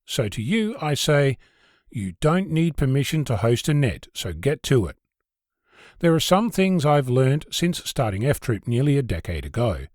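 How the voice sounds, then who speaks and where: A man's voice: medium pitch, very animated, moderate pace, a little mellow, no echo, clean studio sound. A man hosting a podcast, reading a scripted essay in a conversational way with wry asides. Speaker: host of an amateur radio podcast. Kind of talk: scripted spoken essay, narration.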